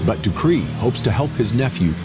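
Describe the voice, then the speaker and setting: A man's voice: mid-range voice, expressive, normal speed. A man reading scripted documentary narration. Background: loud music.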